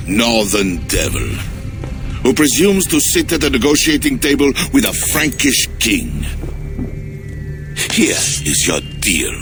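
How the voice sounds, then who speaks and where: Game cutscene: a resentful Frankish king with a very deep voice that swings widely as he broods. He speaks slowly and savors each word. Music plays behind him. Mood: gloating. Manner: slow, brooding, turning mocking and accusing. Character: bitter, jealous middle-aged Frankish king. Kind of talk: video game cutscene acting.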